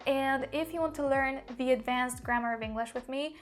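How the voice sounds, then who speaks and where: A woman's voice: sits high, moderate pace, soft background music. A young woman with a slight Polish accent, a language mentor talking earnestly about her own learning, with lots of "like" fillers. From Poland. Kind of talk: personal vlog monologue.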